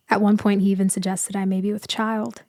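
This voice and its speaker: A woman playing a gentle, melancholy immortal female vampire in a sleep ASMR roleplay, speaking softly and intimately, often slipping into a whisper. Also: mid-range voice, no room sound, clean studio sound. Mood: uneasy, somber. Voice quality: soft, breathy, often whispering. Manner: slow, hushed, wistful, intimate.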